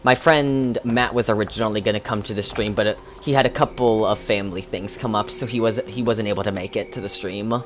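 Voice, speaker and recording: A man's voice: lowish voice, steady tone, brisk, hardly any pauses, soft background music. A young man streaming a game, rambling casually with many restarts and fillers. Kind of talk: casual livestream commentary.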